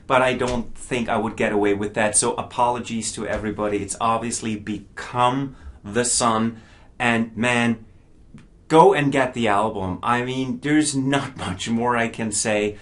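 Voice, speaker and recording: A middle-aged man who did not grow up speaking English talks through an album review in a loose, casual way. He is eager and keeps tripping over repeated words as he goes. His voice sits at an ordinary middle pitch. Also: steady tone, unhurried, a bit bright.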